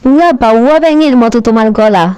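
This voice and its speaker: Bossy, boastful cartoon granny, played by a woman, very high voice, dismissive and scolding, faint noise behind. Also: a little fast, darkish tone, lo-fi sound, natural voice. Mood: teasing. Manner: scolding, dismissive, self-important. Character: bossy, boastful grandmother teasing her doting husband. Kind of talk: comedy cartoon dub.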